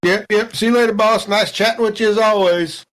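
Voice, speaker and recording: A man's voice: high-pitched, steady tone, fairly quick, a bit bright. A man voicing a folksy, overconfident spaceship crewman who rambles along with homespun sayings in a tabletop game. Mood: easygoing. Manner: drawling, rustic, rambling small talk with homespun sayings. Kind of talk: improvised in-character dialogue in a tabletop game.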